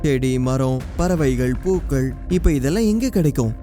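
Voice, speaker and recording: A man's voice: medium pitch, expressive, normal speed, warm tone. A man narrating a scripted children's story in a colloquial storytelling manner. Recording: music behind the voice.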